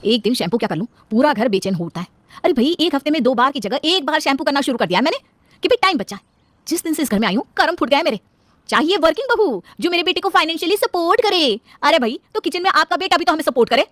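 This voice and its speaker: A woman's voice: high-pitched, sing-song, fast, fairly bright tone, no echo, pitched above the performer's own voice. A woman voicing an exasperated, ambitious working daughter-in-law who grumbles her way through a family story.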